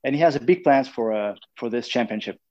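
A man interpreting for his brother, in halting English. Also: mid-range voice, lively intonation, darkish tone, highs cut off. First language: Russian.

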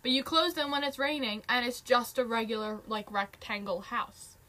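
A woman with a somewhat high voice chats casually as a podcast co-host. She rambles playfully and drifts from thought to thought without much of a plan. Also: fairly even intonation, normal speed, sharp tone. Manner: rambling, playful, jumps between silly topics. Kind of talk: casual chat.

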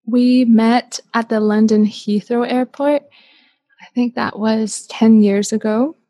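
A woman in her thirties from Canada, a guest telling a story in a casual interview, chatty and animated with lots of "like". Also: a little high, takes it slowly, no echo.

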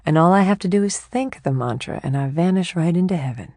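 A woman's voice: on the low side, no echo, studio quality. A woman doing a serene older meditation teacher. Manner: calm, unhurried, gently amused.

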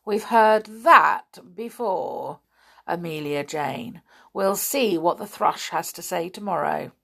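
Lady playing a toy clown, doubtful and questioning, slow, gravelly. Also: lowish voice, fairly bright tone, full character voice. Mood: skeptical. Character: skeptical toy clown, wary.

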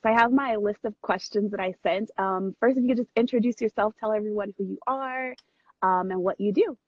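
A woman hosting a casual interview over a video call, with a slightly husky voice. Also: mid-range voice, a little fast, dry recording. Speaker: vlogcast host and interviewer. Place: private room on a moving train. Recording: muffled, dry video call audio.

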